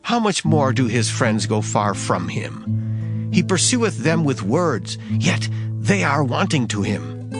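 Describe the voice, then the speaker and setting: Expressive male scripture reader over guitar. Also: medium pitch, slow. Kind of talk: dramatized Bible audiobook reading. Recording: guitar music behind the voice. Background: plucked guitar music.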